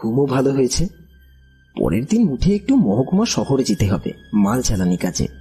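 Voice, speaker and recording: An actor playing a bewildered village trader in an audio horror drama, speaking in an anxious, hesitant, pleading way with a husky, breathy voice. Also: male voice, mid-range voice, sing-song, moderate pace, dark tone, full character voice. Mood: uneasy recounting. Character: frightened, bewildered village trader recounting his strange ordeal. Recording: background music under the voice.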